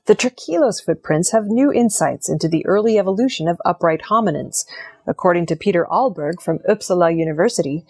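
Husky-voiced woman reading scripted news. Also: on the low side, a little mellow. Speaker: news presenter.

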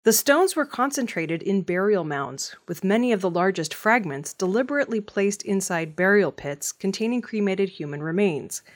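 A woman working as a news reader, delivering scripted news narration. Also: mid-range voice.

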